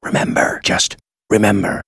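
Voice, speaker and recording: An older American man voicing a crotchety retired birdwatcher in a video game, folksy and gruff with a husky, dry delivery. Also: normal speed, fairly bright tone, no echo, lightly acted. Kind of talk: video game character dialogue.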